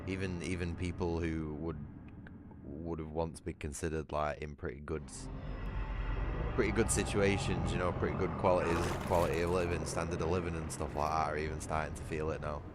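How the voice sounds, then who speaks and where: A man's voice: rumbling low, steady tone, brisk, very noisy. A man with a Manchester accent chatting bluntly and swearing while streaming a game. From England. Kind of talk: casual livestream gaming chat.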